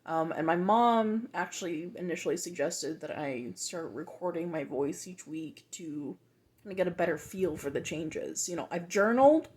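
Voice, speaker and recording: Hesitant, reflective woman, lowish voice. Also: darkish tone. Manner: reflective, hesitant, many ums and restarts. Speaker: video journal host. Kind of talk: casual personal video journal.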